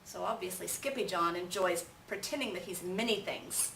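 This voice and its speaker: A woman reading a children's story aloud in an animated way, pausing to put questions to young listeners. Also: fairly low pitch, gravelly. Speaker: storybook reader. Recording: roomy, distant mic with faint noise.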